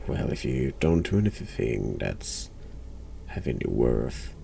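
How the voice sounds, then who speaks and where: Very deep, creaky deadpan man. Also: slow, a little hiss or hum. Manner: deadpan, dismissive short replies. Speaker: streamer playing a horror game. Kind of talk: gameplay commentary, replying to a game character. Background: background music.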